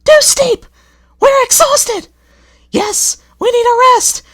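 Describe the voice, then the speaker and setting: A man's voice: very high voice, takes it slowly, a bit bright, voice pushed up, full character voice. A man voicing a pair of lazy, fussy old railway coaches who whine and squeal. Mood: whining. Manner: whiny, squealing, complaining.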